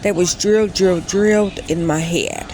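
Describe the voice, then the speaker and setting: A woman's voice: on the low side, fairly even intonation, normal speed, darkish tone, a little hiss or hum. A woman in her late thirties telling a personal story in a casual vlog, rambling and often stopping to correct herself. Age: 30s. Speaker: vlogger.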